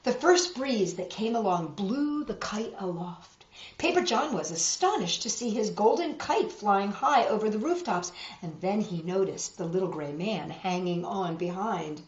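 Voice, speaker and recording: A woman reading a picture book aloud to children, expressive and dramatic, pausing for asides to show the pictures. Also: medium pitch. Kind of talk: animated children's story reading with asides to viewers. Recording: roomy, dull lo-fi web video.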